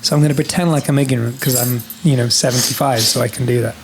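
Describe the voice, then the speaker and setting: A man from Scotland with an English-sounding British accent, telling animated stories as a podcast guest and swearing freely. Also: mid-range voice, fast, a crowd in the background. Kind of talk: casual storytelling.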